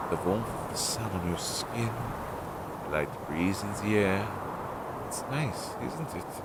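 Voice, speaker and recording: Over clearly noisy, low-quality audio comes a low-pitched crow familiar played by a man. He is anxious and devoted to his witch, speaking very slowly and hesitantly in an intimate audio drama style. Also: exaggerated voice. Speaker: voice actor in audio roleplay. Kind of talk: intimate second-person audio roleplay acting.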